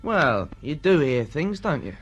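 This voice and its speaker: An actor playing a pompous, tactless, self-satisfied husband who lectures in stiff jargon, in a radio drama. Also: male voice, fairly high pitch, big pitch swings, a little slow, husky, dull audio, full character voice. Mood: smug, lecturing.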